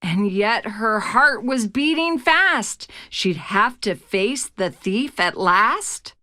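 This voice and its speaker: A woman narrating a rhyming children's picture book as a read-aloud host, with a measured, expressive storytelling delivery. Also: fairly high pitch, no room sound, clean studio sound.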